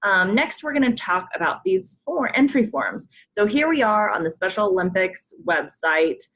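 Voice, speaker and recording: A woman's voice: medium pitch, very animated, normal speed, a bit bright. A woman from a sports program staff, leading a coach conference call and walking through forms with many filler ums. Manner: friendly, frequent ums, polite reminders. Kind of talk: instructional briefing with screen walkthrough.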